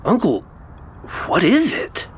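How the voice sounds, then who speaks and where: A man's voice: high-pitched, huge pitch swings, takes it slowly, slight background noise, full character voice. A man acting an anxious, awed young nephew in an audio drama, hesitant and full of questions.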